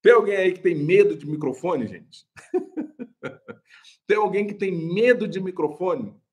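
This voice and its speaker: A young adult Brazilian man, a pastor, teaches a live class on preaching. His fairly high voice swoops and jumps with lively energy, and he chats with his viewers and laughs often. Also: takes it slowly. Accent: Brazilian Portuguese. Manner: animated, chatty, laughs often, addresses viewers as "gente".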